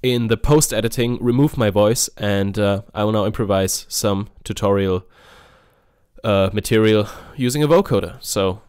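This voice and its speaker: A man hosting a software tutorial in a casual, offhand, informal way. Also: fairly low pitch, moderate pace, clear, resonant tone, dry recording, clean studio sound. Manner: informal, offhand, mildly sweary. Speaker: music software tutorial host. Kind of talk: casual screen-recorded tutorial.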